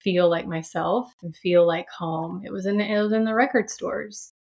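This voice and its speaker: A woman's voice: lowish voice, moderate pace. A woman, telling her story thoughtfully as a podcast guest. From Franklin, Tennessee, USA. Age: 30s. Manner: reflective, many restarts and soft laughs. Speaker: podcast guest, sound healer. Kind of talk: casual interview chat.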